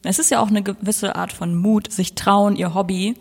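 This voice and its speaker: A podcast host asking questions in a relaxed, joking way. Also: mid-range voice, moderate pace, creaky, long reverb tail. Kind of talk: casual chat.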